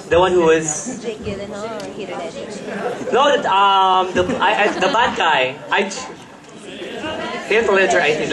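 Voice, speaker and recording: A young man answers a pageant question on a mall stage, his voice sitting a little high. He gushes and rambles, stumbling through fillers and restarts as he searches for words. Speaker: pageant contestant. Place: live event stage at a mall.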